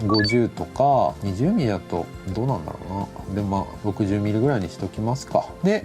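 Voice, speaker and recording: A man giving an instructional lecture in casual polite speech, explaining step by step and thinking aloud as he goes. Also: lowish voice. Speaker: animation director giving a lecture. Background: background music.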